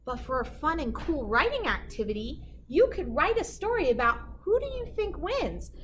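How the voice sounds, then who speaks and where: Bright, animated woman reading a picture book. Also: high-pitched, normal speed, slight background noise. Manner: explains pictures and asks listeners questions. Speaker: teacher reading aloud.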